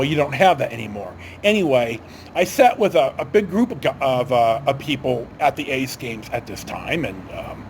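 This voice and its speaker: A man, middle-aged or older, telling casual personal stories in a rambling, halting way, often breaking off and circling back. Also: medium pitch, a little hiss or hum. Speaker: storyteller host.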